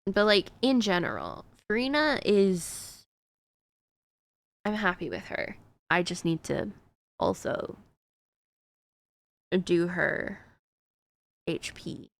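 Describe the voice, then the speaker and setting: Slow, gravelly woman streamer. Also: fairly low pitch, sing-song. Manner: chatty, rambling with frequent fillers. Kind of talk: casual chat while gaming.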